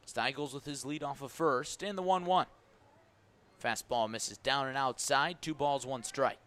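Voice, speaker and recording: A man's voice: mid-range voice, unhurried, fairly bright tone. An American man calling baseball play-by-play live on the radio. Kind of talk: live radio sports commentary. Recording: live broadcast with background noise. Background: ballpark ambience.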